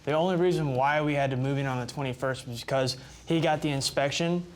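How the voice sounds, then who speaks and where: A man's voice: medium pitch, quick pace, low-quality recording. A man giving testimony as a plaintiff in a TV court, explaining his side insistently.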